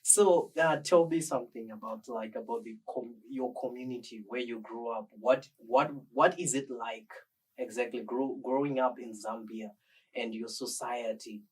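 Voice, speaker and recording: A man's voice: medium pitch, moderate pace, slightly rough, distant mic, low-quality recording. A man hosting an interview, asking questions in an encouraging way and backing the guest up with frequent "mm-hmm"s. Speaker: interviewer host. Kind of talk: interview conversation.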